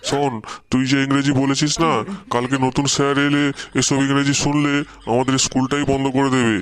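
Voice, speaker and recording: A man's voice: medium pitch, flat delivery, brisk, natural voice. A man voicing a strict, exasperated middle-aged schoolteacher in a Bengali comedy cartoon, scolding with a Bengali accent. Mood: stern. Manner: scolding, threatening.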